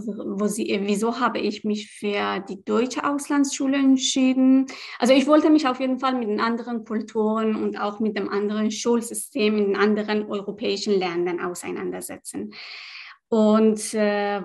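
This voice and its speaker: Hesitant young woman, slightly high voice. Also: gravelly. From Iran. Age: young adult. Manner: hesitant, many fillers and restarts in a second language. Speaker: student guest. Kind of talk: casual interview answers.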